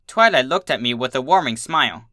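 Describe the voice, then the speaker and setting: A woman reading fan fiction aloud as expressive scripted story narration. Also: extremely low pitch, brisk, dry recording, clean studio sound. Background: soft guitar music.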